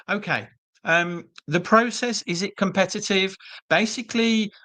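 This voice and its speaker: A man's voice: a little high, bright, forceful tone, dry recording. A British man presenting an online webinar for a business school, chatty and reassuring with frequent ums and uhs, speaking over a video call. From Britain.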